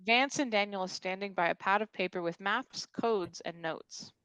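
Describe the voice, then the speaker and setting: A woman narrates the stage directions of a comedy script at a table read. Her voice sits at an average pitch, and the sound is dry. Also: moderate pace, fairly bright tone, smooth, no echo, poor audio.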